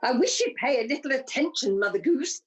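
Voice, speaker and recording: A woman's voice: sits high, expressive, fairly quick, slightly rough. A woman playing a sour, curt, disapproving committee member in a radio pantomime. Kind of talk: pantomime radio play acting.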